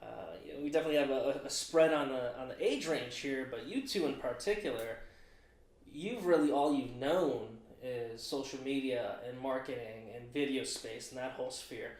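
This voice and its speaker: A man's voice: mid-range voice, moderate pace. A man in his twenties hosting a casual podcast chat, rambling and thinking aloud with lots of fillers. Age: 20s. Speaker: podcast host. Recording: roomy echo.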